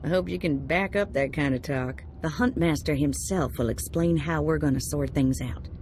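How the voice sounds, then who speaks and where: A woman's voice: low voice, normal speed, darkish tone, a little hiss or hum. An actress playing a brash, flirtatious woman who greets bounty hunters, teasing and sly, over game music. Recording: music behind.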